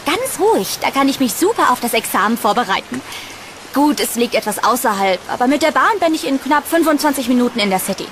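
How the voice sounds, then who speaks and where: High-voiced young female student, acted. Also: sing-song, quick pace, background noise. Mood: excited, cheerful. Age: young adult. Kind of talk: German audio horror drama acting.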